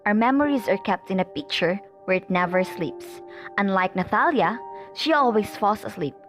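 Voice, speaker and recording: Friendly young female student, woman actor, medium pitch, chatty, radio drama with soft music. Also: lively intonation, normal speed, close to an everyday voice. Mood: friendly, open. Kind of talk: amateur radio drama acting. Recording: faint music behind voice.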